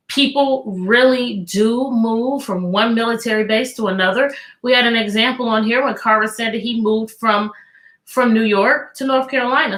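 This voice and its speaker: A woman teacher reading a children's book aloud, expressive and deliberate, prompting young listeners with questions. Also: a little high, rough recording.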